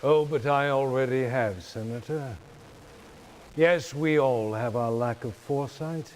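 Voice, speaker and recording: An older man playing a falsely polite, menacing corporate chairman in a video game, speaking in a measured, condescending way. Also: mid-range voice, darkish tone, a little hiss or hum, natural voice. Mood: cold, gloating. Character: smooth, menacing older male chairman of a ruthless consortium. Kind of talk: dramatic video game cutscene acting.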